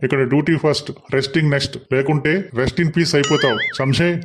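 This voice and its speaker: A man voices a scolding, patriotic Punjabi soldier in a comedy cartoon. He talks fast and a little high, giving orders with authority. Noise is plainly heard behind him. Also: fairly even intonation, natural voice. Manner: commanding, mixes Hindi into Telugu. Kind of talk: comic cartoon dialogue.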